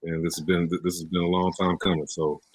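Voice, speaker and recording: A man's voice: low-pitched, little pitch movement, a little fast, dry recording, rough recording. A man chatting casually as an interview guest. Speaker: guest, co-producer and cast member.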